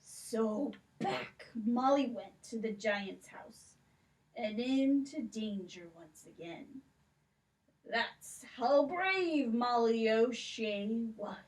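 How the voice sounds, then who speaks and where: A woman narrating a children's puppet show at a library, telling the story slowly and expressively. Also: on the high side, expressive, low-quality recording.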